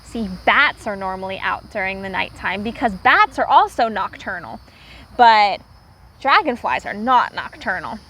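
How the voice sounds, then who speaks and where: A woman teacher reading aloud to young children, pausing to explain words simply and repeating herself for clarity. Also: medium pitch, animated, a bit bright, slight background noise, rough recording.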